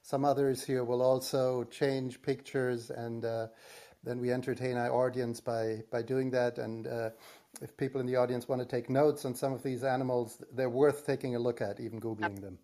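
A man's voice: mid-range voice, flat delivery, moderate pace, dark tone. A man co-moderating an online panel over a video call, talking in an easygoing, rambling way.

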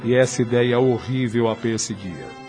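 A man's voice: medium pitch, normal speed, darkish tone. A man narrating a radio drama in a scripted, literary storytelling style. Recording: music under the voice.

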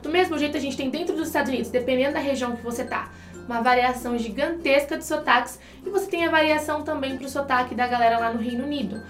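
A woman's voice: sits high, fast, reverberant, faint music behind. A Brazilian woman co-hosting an online English lesson, chatting to viewers as she explains things with examples. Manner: explanatory, addresses viewers directly, uses examples. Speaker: English teacher and co-host. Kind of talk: conversational language lesson for online video.

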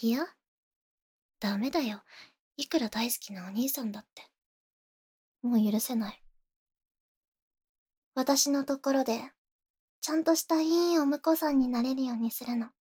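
A woman's voice: high-pitched, unhurried, pauses often, mellow, slightly rough. A woman playing a possessive, sweetly menacing young rich girl in a Japanese ASMR voice drama, with cutesy, coaxing phrasing and whispers. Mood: possessive, coolly threatening.

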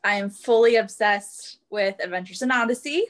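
A woman's voice: medium pitch, normal speed. A woman in her late twenties chatting casually as a podcast guest, speaking with emphatic enthusiasm. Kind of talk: casual chat.

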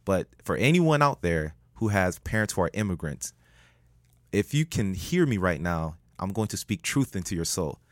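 A man telling a story as a podcast guest, animated, jumping up in pitch when he jokes. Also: moderate pace, no room sound, clean studio sound. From United States. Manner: animated, rises sharply in pitch when joking. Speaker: guest, public speaker.

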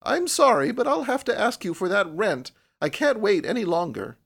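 A man's voice: high voice, big pitch swings, normal speed, vocal fry, fairly bright tone, husky, voice pushed up, heavily acted. A man voicing an insistent, complaining landlady, an impatient poor woman, in an audiobook. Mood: scolding, insistent. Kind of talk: audiobook character voice.

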